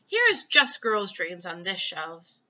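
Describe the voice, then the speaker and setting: A woman voicing a huge, gentle, kindly male storybook giant who explains things in muddled, folksy grammar. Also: lowish voice, big pitch swings, lo-fi sound, exaggerated voice. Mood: explaining. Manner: folksy, ungrammatical, unhurried explaining.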